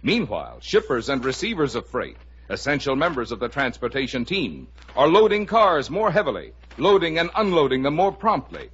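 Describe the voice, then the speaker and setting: A man's voice: mid-range voice, fairly bright tone, slightly rough. A man reading scripted sponsor narration as a 1950s radio announcer, with formal, emphatic phrasing. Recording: muffled 1950 radio broadcast.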